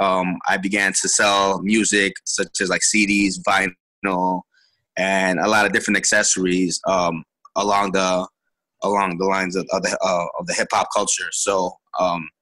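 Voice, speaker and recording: A man in his twenties from Chicago, telling his story as an interview guest, halting with many ums and restarts. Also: low voice, pushed and bright, dry recording, poor audio. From Chicago, USA.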